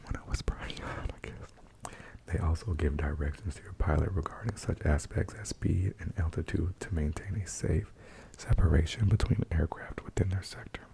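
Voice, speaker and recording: Very low, creaky whispering ASMR man. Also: normal speed. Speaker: ASMR host. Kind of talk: ASMR, whispered and soft-spoken article reading.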